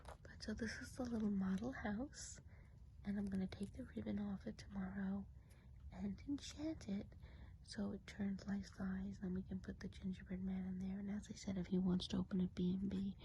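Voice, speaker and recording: Woman playing a softly whispering, kindly Christmas witch. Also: medium pitch, a little hiss or hum, natural voice, poor audio. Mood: cozy, chatty. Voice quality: soft breathy whisper. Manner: gentle, unhurried, chatty, hesitant asides. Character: kindly, chatty female Christmas witch of the woods. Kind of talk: whispered ASMR role play.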